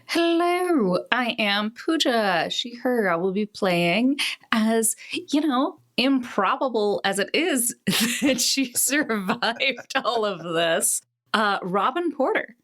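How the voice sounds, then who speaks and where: A woman's voice: fairly high pitch, lively intonation, takes it slowly, a bit bright. A woman speaking casually as a player in a tabletop role-playing game. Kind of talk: casual self-introduction.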